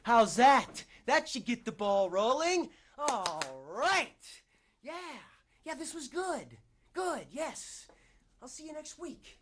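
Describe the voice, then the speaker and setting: A man performs a frayed, struggling actor in a theatrical monologue, pleading and bargaining. His pitch swings widely as he moves between hushed confession and outburst. He keeps interrupting himself, jittery and desperate. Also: sing-song, slow, slight background noise, exaggerated voice. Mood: pleading, agitated.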